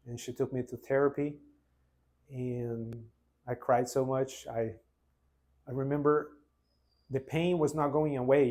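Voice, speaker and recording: A man in his forties from Brazil with a Brazilian Portuguese accent, whose first language is Portuguese, telling his own story unscripted in an earnest, halting way with many restarts. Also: mid-range voice, darkish tone. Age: 40s. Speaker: vlogger. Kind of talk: spontaneous personal testimony.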